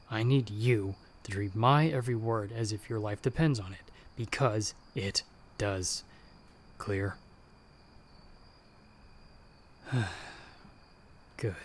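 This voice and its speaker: A man playing a stern, sardonic monster hunter who guides the listener in hushed, urgent tones in an ASMR audio roleplay. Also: on the low side, a little hiss or hum, lightly acted. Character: gruff, sardonic male monster hunter, a disembodied guiding voice.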